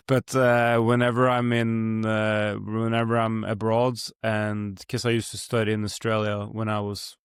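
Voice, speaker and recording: A man's voice: on the low side, little pitch movement, a little slow, clean tone, no room sound, clean studio sound. A young man from Norway with a Norwegian accent, hosting a podcast and musing in a rambling, reflective way with many fillers. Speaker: podcast host. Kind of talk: casual podcast conversation.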